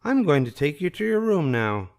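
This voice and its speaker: Storybook nurse, played by a man: young woman, kind and soothing, pitched up high, very lilting delivery. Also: very animated, moderate pace, a little mellow. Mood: welcoming. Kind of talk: children's storybook read-aloud.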